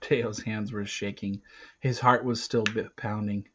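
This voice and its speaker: A man reading an adventure novel aloud in a dramatic, emphatic way, swinging between hushed and loud delivery. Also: on the low side, flat delivery, a little slow, slight background noise. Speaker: reader of a children's adventure novel. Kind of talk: dramatic read-aloud narration. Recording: dull, lo-fi recording.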